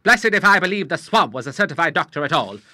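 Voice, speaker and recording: A man voicing a blunt, gruff elderly retired admiral in an audiobook, with brisk nautical bluster. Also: on the high side, sing-song, pushed and bright, no room sound, clean studio sound, pitched above the performer's own voice, exaggerated voice. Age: older.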